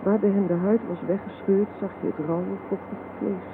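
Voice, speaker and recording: A performer playing a solemn survivor of a bombing, giving grave, measured testimony in a radio play. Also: medium pitch, steady tone, lightly acted. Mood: grave, haunted. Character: solemn eyewitness survivor recalling horrific bombing. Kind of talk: dramatised testimony monologue. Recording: noisy, tinny 1970s radio play recording.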